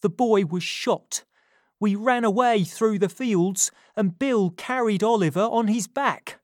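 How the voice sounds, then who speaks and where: A woman voicing a rough male thief who tells grim news bluntly. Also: medium pitch, a little slow, no room sound, studio quality, voice pushed up, natural voice.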